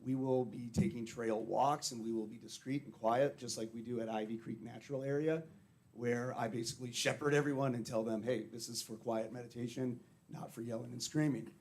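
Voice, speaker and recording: Brief: man, a little hoarse, blunt and plain-spoken, explaining his facility at a public meeting. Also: medium pitch, steady tone, brisk, a little mellow. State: slightly hoarse. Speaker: treatment facility director.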